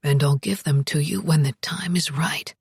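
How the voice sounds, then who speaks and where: A devoted elderly husband murmuring gently to comfort his wife, voiced by a woman narrator. The voice sits low, soft and husky, often close to a whisper. Dry, close recording. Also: normal speed, no room sound, exaggerated voice. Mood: soothing. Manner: gentle, hushed, reassuring.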